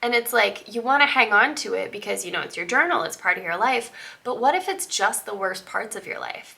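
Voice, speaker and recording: A woman's voice: mid-range voice, gravelly, sharp tone. A woman in her thirties getting over a cold, chatting casually to camera with lots of fillers and asides. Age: 30s. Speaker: vlogger and author.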